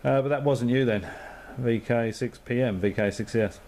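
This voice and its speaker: A man's voice: medium pitch, moderate pace, darkish tone, a little hiss or hum. An Australian man and amateur radio operator in easygoing, rambling radio chat, full of fillers and signing off with call signs. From Perth, Western Australia. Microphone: radio transceiver microphone.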